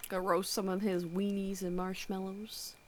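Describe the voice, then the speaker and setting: A woman's voice: mid-range voice, little pitch movement, unhurried, a little hiss or hum. A woman chatting casually as she reacts to videos with others.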